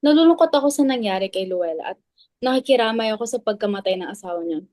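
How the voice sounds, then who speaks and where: A woman's voice: on the high side, expressive, fast talker, mellow, close to an everyday voice. A woman playing a wary, insistent teacher in a dramatic reading, arguing emphatically with pointed questions. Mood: defensive. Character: wary, argumentative female teacher, insistent club member Marife. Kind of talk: dramatic stage reading in Tagalog.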